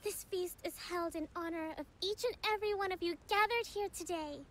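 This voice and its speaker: An actress voicing a gentle, dignified young female leader in a video game, formal, measured and earnest. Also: very high voice. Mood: solemn, sincere. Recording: faint game music behind.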